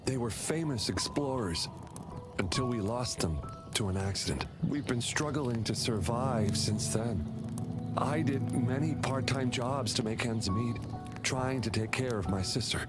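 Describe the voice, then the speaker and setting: An actor playing an anxious, devoted young older brother in a video game. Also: male voice, mid-range voice, a little slow, lightly acted. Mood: regretful. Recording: loud music behind the voice. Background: loud game music.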